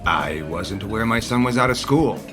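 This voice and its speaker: A man acts a guarded romantic father in an audio soap opera. His voice is fairly low, earnest and a little dry, over clearly audible music. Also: expressive, normal speed, lightly acted. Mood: guarded, curt. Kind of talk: naturalistic soap opera dialogue. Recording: studio drama with music underneath.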